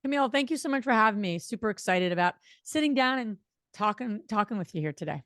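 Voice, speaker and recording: A middle-aged woman with a slight New Jersey accent chats quickly, full of fillers. Her pitch sits in the middle, and the sound is dry and close to the mic. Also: animated, fairly bright tone, no room sound. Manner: fast-talking, chatty, many fillers. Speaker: guest, real estate investor. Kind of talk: casual interview talk.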